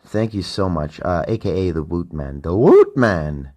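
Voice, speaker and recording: A deep-voiced Canadian man talks through a loose solo podcast monologue. He rambles and swears freely, his words sometimes sinking into a mutter and then jumping up into loud, emphatic lines. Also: lively intonation, measured pace. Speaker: podcast host. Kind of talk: casual late-night solo monologue.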